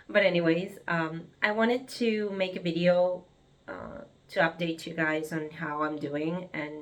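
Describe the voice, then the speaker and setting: A woman's voice: low-pitched, a bit bright. A young woman from Argentina with an Argentine Spanish accent, speaking English as a second language in a casual, reflective vlog, hesitant, with many fillers and restarts. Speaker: vlogger. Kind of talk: casual reflective monologue to camera.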